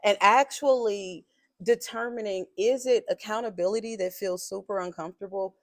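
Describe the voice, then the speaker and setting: A woman's voice: medium pitch, moderate pace. A woman speaking in a strong voice, making her points assertively in a podcast conversation. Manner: assertive, emphatic, builds points in lists.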